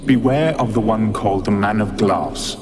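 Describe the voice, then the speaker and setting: A man's voice: fairly low pitch, normal speed, close to an everyday voice. Game cutscene: male voicing a grave ghost servant issuing a warning, faint noise behind. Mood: ominous. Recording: distant, reverberant with faint noise.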